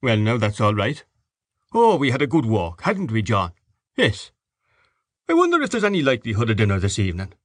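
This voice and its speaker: A man's voice: mid-range voice, huge pitch swings, fairly quick, long pauses, dry recording. A man voicing a jovial, boisterous middle-aged Irish father, hearty and teasing in his banter.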